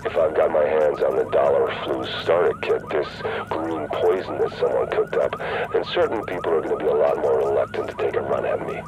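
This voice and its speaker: A man's voice: very deep voice, wildly swooping pitch, a little fast, exaggerated voice. A man playing a cynical, power-hungry rogue agent in a video game, persuasive and coolly self-assured, with noise behind him. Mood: calculating, confident. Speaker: rogue agent and faction leader. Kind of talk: cinematic video game acting.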